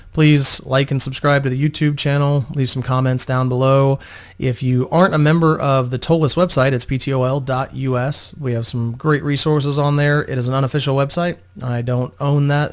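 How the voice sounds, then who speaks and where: A man narrating a fantasy game story as a dramatic reading, hosting it himself. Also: mid-range voice. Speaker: narrator and host.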